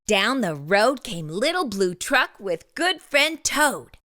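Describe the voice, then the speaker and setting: A woman reads a bedtime storybook aloud for young children. Her voice is bright and swoops widely, and she takes her time with each phrase in a deliberate, animated way. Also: on the high side, no room sound, studio quality. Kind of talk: scripted storybook narration, read aloud.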